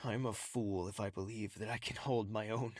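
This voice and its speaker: A man's voice: lowish voice, fairly even intonation, normal speed, no room sound, studio quality, lightly acted. A man playing a troubled, self-doubting young royal in an audio drama, with an earnest, hesitant, introspective manner. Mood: anguished, brooding.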